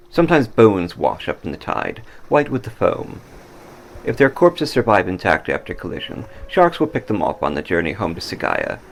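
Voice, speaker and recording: A man narrating a scripted story dramatically, with solemn, deliberate emphasis. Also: sits low, gravelly, a little hiss or hum. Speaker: author reading own story.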